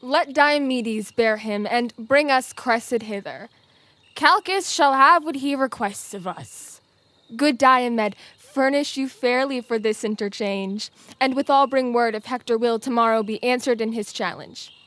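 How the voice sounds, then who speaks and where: A woman's voice: on the high side, measured pace, a bit bright, dry recording. A young woman acting in a Shakespearean audio drama as a stately male general, formal and authoritative. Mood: commanding. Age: young adult.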